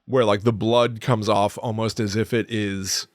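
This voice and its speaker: A man co-hosting a casual film discussion podcast, talking in a jokey, halting way and repeating words for comic emphasis. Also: on the low side, level tone, dry recording, studio quality. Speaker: podcast co-host.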